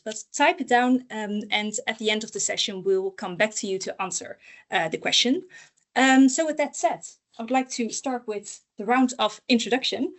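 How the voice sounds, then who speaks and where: A young woman hosts an online university information session in a friendly way. Her voice sits a little high, and she fills her pauses with frequent ums and uhs. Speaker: host, education officer. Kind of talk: online info session moderation. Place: university room with a small panel.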